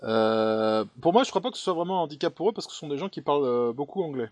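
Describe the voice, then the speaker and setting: A man's voice: on the high side, animated, fast talker, darkish tone, dry recording. A man hosting a panel discussion, speaking casually with frequent hesitations. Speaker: host.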